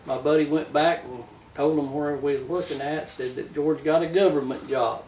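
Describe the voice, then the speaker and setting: An older Southern American man tells his life story in a folksy, casual manner. His voice sits a bit higher than average. The recording is roomy and a little far from the mic. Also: fairly even intonation, normal speed, a little mellow. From Tennessee, United States. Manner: folksy, plainspoken. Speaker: interview guest, retired-era trucker telling his life story. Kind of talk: casual reminiscing. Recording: roomy, distant mic with faint noise.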